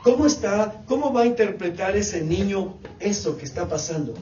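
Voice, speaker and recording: High-voiced preacher in an echoey hall. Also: male voice, expressive, normal speed, mellow, slight background noise. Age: 40s. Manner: repetitive preaching cadence, addresses congregation as brothers. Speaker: pastor. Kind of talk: sermon. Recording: echoey, distant, dull church recording.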